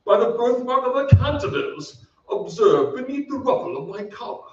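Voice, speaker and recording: A man's voice: very high-pitched, expressive, normal speed. A man playing a weary, courtly old English ghost knight in an old-time radio play, with archaic, theatrical, solemn delivery. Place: theatre stage. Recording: echoey stage, distant mic, dull, hissy.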